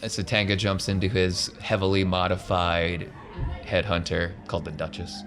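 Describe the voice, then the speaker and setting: A man's voice: deep voice, little pitch movement, normal speed, fairly bright tone, soft background music. A man casually narrating an improvised tabletop roleplaying game as the game master, in a conversational storytelling manner.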